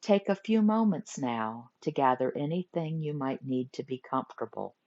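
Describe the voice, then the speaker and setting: A woman teaching guided meditation, giving gentle instructions in short phrases with pauses between them. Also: extremely low pitch, lively intonation. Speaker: yoga nidra meditation teacher. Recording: muffled lo-fi recording.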